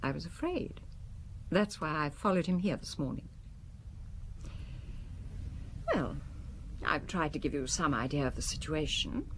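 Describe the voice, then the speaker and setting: A proud, haughty businesswoman in an audio drama. Her voice is very low and highly expressive, swinging in pitch while she stays composed, defensive and faintly scornful. Faint noise sits behind her. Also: normal speed. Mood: composed, uneasy. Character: cool, proud, faintly contemptuous married businesswoman. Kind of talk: radio drama acting.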